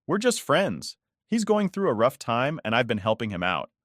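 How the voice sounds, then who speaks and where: A man's voice: medium pitch, huge pitch swings, moderate pace, a bit bright, no room sound, studio quality, voice pushed up. A man voicing a young wife caught lying, defensive and then tearfully pleading, in a raised, strained voice.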